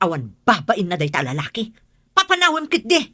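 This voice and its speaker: A woman's voice: medium pitch, wildly swooping pitch, brisk, sharp tone, dry recording, studio quality, full character voice. A woman playing an outspoken, protective older confidante in a radio drama. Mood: indignant. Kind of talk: Ilocano radio drama acting.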